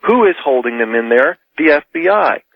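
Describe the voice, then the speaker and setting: A man's voice: a little high, expressive, normal speed, gravelly, dry recording. A man arguing politics insistently as a caller on a radio show, in a heated debate style. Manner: insistent, argumentative. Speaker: radio call-in guest. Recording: dull lo-fi radio call.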